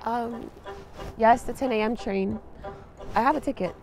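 A woman's voice: on the high side, measured pace, creaky, soft background music. A woman playing a frightened young immigrant, anxious and pleading, in a scripted short drama. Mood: nervous. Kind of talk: scripted short-film acting. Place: outdoors at station and parking lot. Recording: loud outdoor ambience, traffic noise.

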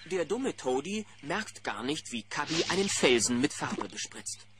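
A man narrating a scripted children's audio play in an animated storytelling style. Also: a little high, measured pace, noisy background.

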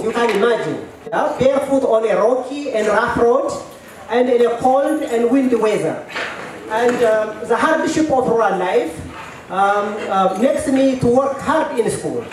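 Halting Ethiopian man in echoey tent. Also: extremely high pitch, darkish tone, a little hiss or hum. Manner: halting, many fillers and repeated words, chuckles. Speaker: storyteller at a work meeting. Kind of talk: personal storytelling to an audience. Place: large tent at an event. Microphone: handheld mic. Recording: echoey tent, mic at a distance.

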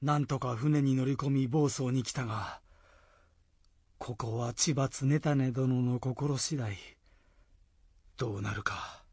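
A man's voice: mid-range voice, takes it slowly, pauses often, darkish tone, breathy edge, dry recording. A man playing a loyal samurai retainer in a period radio drama, speaking in formal, deferential warrior style. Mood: grave.

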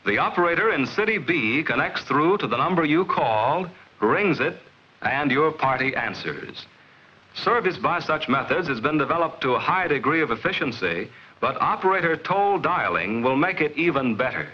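An American man reading scripted 1950s promotional film narration in a measured, explanatory, clearly enunciated way. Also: a little high, big pitch swings. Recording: dull vintage film soundtrack.

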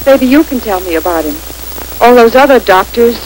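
Worried wife played by a woman, 1940s radio drama, higher voice, flat delivery, faint static. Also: normal speed, natural voice, low-quality recording. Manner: flat, concerned. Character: worried wife questioning a doctor, flatly. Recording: staticky old film soundtrack.

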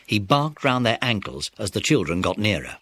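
A man's voice: fairly low pitch, very animated, normal speed, very noisy. A man narrating a children's audiobook as a scripted storyteller.

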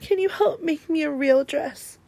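Woman voicing an earnest, high-pitched little boy. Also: a little slow, creaky, warm tone, no room sound. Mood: tearful, pleading. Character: proud, earnest little boy who loves dresses. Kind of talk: children's storybook read-aloud.

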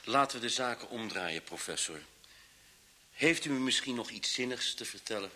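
An actor playing an impatient, down-to-earth police detective in a vintage radio play, with a brisk, dry manner. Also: male voice, medium pitch, animated, sharp tone.

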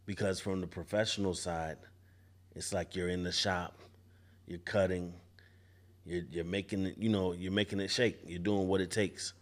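A man's voice: deep voice, fairly even intonation, moderate pace. A man in his forties, a barber talking on a podcast with a studio mic in a barbershop space, thoughtful and often restarting his sentences. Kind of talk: casual panel discussion. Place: barbershop event space, indoors. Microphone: podcast mic on boom arm.

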